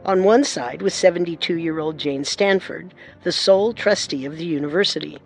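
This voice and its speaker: A woman narrating a true-crime radio drama in a measured, suspenseful scripted style. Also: sits low, vocal fry. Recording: music bed beneath narration.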